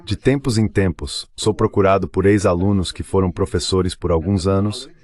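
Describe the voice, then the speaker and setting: Low-voiced Brazilian man, translation voice-over. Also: steady tone, moderate pace, darkish tone. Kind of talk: dubbed interview translation.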